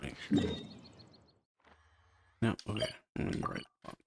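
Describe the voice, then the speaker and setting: A streamer giving casual gameplay commentary, often muttering and trailing off. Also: unhurried. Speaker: streamer reacting to game.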